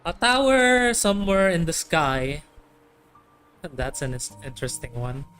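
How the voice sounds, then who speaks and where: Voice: medium pitch, sing-song, unhurried, fairly bright tone, clear, resonant tone. A game streamer giving casual commentary in short, offhand, muttered reactions. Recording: faint game music behind.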